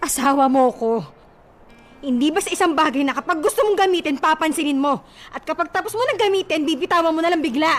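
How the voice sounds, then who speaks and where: A woman's voice: high voice, lively intonation, quick pace. A woman acting a distressed, pleading wife who resists her husband in a radio drama. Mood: hurt, pleading. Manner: pleading, emotional protests.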